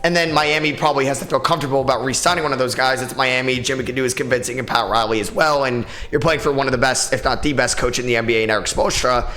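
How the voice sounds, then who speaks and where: A young man with a raspy, tired voice, hosting a solo sports podcast in a casual, opinionated way with many fillers. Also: medium pitch, fairly even intonation, fast, few pauses, pushed and bright. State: tired, short on sleep. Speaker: podcast host.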